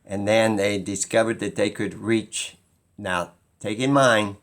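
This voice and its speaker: A man talking informally and skeptically as he explains something, halting and pausing mid-sentence as he muses. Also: lowish voice, fairly even intonation, fairly bright tone. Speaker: video host.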